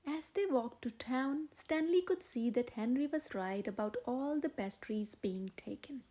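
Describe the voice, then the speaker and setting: A woman reading a children's picture book aloud in a gentle, expressive way. Her voice sits high and bright.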